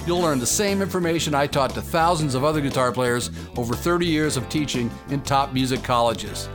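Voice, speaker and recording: A man's voice: mid-range voice, brisk. A man in his fifties, a guitar teacher, reading a scripted ad in a confident, persuasive way. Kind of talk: scripted ad read.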